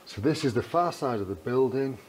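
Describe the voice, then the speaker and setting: A man talks informally to camera as he walks through indoor corridors. His delivery is chatty and casual, a running commentary with an easy readiness to chuckle. Clear background noise sits behind his voice. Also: medium pitch, animated, a little fast, darkish tone. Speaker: vlogger testing walkie-talkies. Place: corridors inside a residential building.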